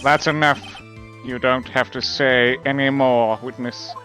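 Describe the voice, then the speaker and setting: Man as a smug, slow-talking young prosecutor. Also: medium pitch, fairly even intonation, bright, forceful tone, faint music behind. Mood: composed. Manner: pompous, measured. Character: smug, condescending, composed young male prosecutor. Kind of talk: live dub of courtroom video game.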